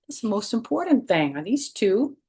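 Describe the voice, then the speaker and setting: A woman's voice: mid-range voice, very animated, moderate pace, darkish tone, slightly rough. A middle-aged woman reading a picture book expressively to children, adding chatty asides, recorded at home. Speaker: children's storytime reader. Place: small furnished room at home.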